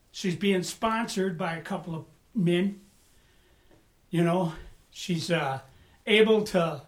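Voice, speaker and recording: A pastor teaching a Bible study in a conversational way, often asking the room "right?" and "amen?", with bursts of emphasis. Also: medium pitch.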